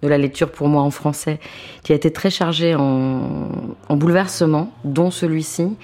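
A woman in her fifties, a translator and author, talking in a relaxed, thoughtful way with many small restarts, seated at home. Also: low voice, normal speed. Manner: hesitant, thoughtful, repeats words when starting. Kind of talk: relaxed conversational interview. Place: apartment living room, seated on a sofa.

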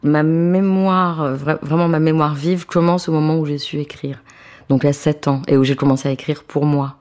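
Low-pitched woman in her 50s, thinks as she talks, restarts a lot, chatting on a sofa at home. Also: steady tone, moderate pace, a little mellow. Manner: hesitant, thoughtful, repeats words when starting. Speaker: guest, translator and author. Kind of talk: relaxed conversational interview. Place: apartment living room, seated on a sofa.

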